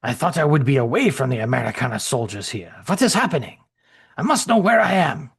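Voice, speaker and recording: A man's voice: mid-range voice, very animated, fairly quick, vocal fry, a bit bright, slightly rough, pitched above the performer's own voice, exaggerated voice. A man voicing a ruthless male German soldier with a mock German accent, barking threats. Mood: bewildered.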